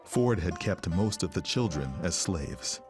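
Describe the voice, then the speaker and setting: A man's voice: deep voice, expressive, measured pace. A man reading scripted narration for a historical documentary. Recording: music behind the voice. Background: background music.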